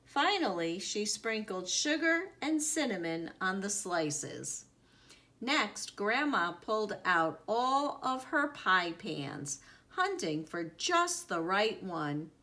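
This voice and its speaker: A woman reading a children's story aloud in an expressive, deliberate storytelling manner with dramatic emphasis. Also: medium pitch. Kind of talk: expressive read-aloud narration.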